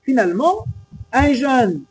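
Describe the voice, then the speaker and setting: TV host, man, probing and insistent, husky high voice. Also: sing-song, slow pace, poor audio. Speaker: TV host, interviewer. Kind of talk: lively interview questions.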